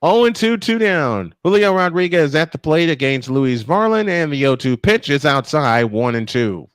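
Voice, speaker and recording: A man with a fairly high voice delivers live baseball commentary. His pitch swings widely as he moves between calls and emphatic, drawn-out storytelling. The sound is close and dry. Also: smooth, no echo. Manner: animated, emphatic storyteller with drawn-out dramatic phrasing. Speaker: play-by-play sportscaster.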